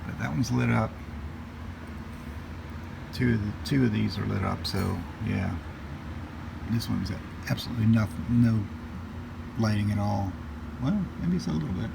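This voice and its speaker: A man's voice: lowish voice, moderate pace, vocal fry, pauses often. A man giving casual hands-on repair narration as a hobbyist, speaking plainly in short fragments as he works. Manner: plainspoken, talking in short fragments while working. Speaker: hobbyist restoring vintage radios. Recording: noisy room with steady background hum.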